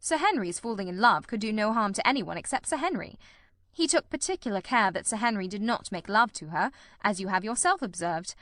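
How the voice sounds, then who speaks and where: A woman playing a calm, incisive male detective who explains his deductions in a measured, assured way in a dramatised reading. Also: medium pitch, dry recording, clean studio sound, natural voice. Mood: calm, analytical. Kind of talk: audiobook-style dramatised reading.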